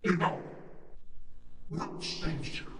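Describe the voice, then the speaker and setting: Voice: slow, noisy background. An actor playing a grim, commanding male cyborg in dramatic game-style lines, clipped and ominous, with digital robotic effects on the voice. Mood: grim, menacing. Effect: robotic digital pitch-shifted, chorus cyborg processing. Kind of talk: dramatic game character line reading.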